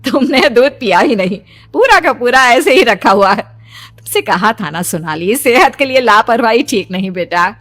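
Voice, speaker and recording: A woman voicing a caring middle-aged mother who gently scolds her grown daughter in a horror cartoon. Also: a little high, expressive, moderate pace. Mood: soothing. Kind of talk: animated horror story dialogue.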